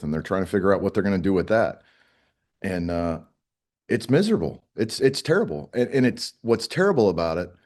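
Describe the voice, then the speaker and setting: A middle-aged man with a very low voice speaks as a union spokesman in an interview. He explains things in a plain, conversational way, stressing points firmly and repeating phrases for emphasis. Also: darkish tone. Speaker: air traffic controllers' union spokesman.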